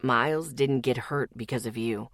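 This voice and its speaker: A woman voicing a calm, low-voiced young man. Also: unhurried, no room sound, clean studio sound. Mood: gentle. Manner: low, soft and even.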